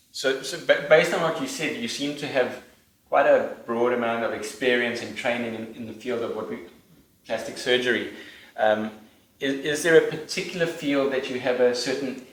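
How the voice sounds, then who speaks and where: A man's voice: mid-range voice, steady tone, normal speed. A male interview host asking questions in a friendly way, often restarting his sentences. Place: studio. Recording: echoey studio with faint music.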